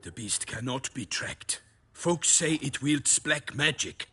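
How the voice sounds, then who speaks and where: Bright, forceful, fervent knight errant. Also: male voice, medium pitch, expressive, takes it slowly, husky, heavily acted. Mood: ominous. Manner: florid, dramatic, formal storytelling. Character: fervent, proud, chivalrous male knight errant. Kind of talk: video game voice acting.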